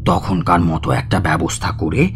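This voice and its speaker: An actor playing an earnest, respectful village physician who recounts a ghost encounter in an audio drama. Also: male voice, sits low, steady tone, moderate pace, natural voice. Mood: narrating, astonished. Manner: storytelling, polite, pleading. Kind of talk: dramatised audio story narration. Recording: music behind the voice.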